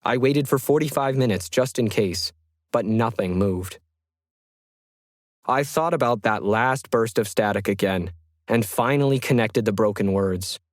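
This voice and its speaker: A man voicing a solitary, methodical 1950s ham radio hobbyist, reading his journal in a measured, reflective way for an audio story. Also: fairly low pitch, no echo, studio quality, natural voice. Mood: weary, wondering. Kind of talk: scripted first-person journal narration.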